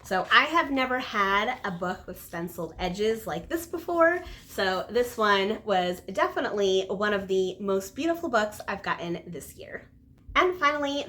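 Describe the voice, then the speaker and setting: A woman's voice: medium pitch, bright, forceful tone. A woman talking casually to viewers as a book reviewer, conversational and emphatic on key words. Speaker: book reviewer, video host. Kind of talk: casual book chat to camera.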